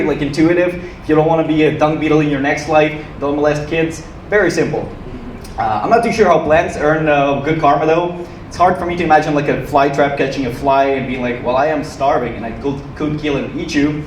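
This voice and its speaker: A man from Ukraine performing stand-up comedy to a live audience with a dry, joking delivery and hesitant pauses. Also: on the high side. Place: small comedy club stage, live audience. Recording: roomy, noisy club recording from a distance.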